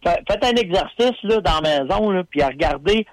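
A man's voice: high voice, normal speed, no room sound, poor audio. Chatty Quebec man on the radio. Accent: Quebec French. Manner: animated storyteller, folksy slang, many fillers. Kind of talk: casual radio chat.

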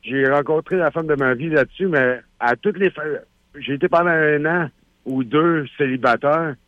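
A man with a Quebec French accent phones in to a talk radio show, rambling, doubling back on his words and laughing easily. His voice sits a little high and dry, heard through a dull, muffled phone line. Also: normal speed, no room sound. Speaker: caller. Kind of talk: casual call-in chat.

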